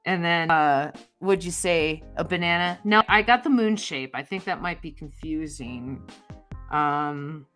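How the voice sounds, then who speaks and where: Woman co-host, slightly low, bright tone, meandering casual chatter over soft music. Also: measured pace. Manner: rambling, thinks aloud with fillers. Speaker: co-host drawing a comic. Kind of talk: casual chat while drawing.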